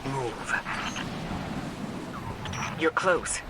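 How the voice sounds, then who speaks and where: An actor playing a composed, urgent military mission commander in a video game, speaking in a crisp, tactical, commanding way. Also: male voice, mid-range voice, expressive, extremely slow, background noise. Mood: grim, urgent. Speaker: mission guide.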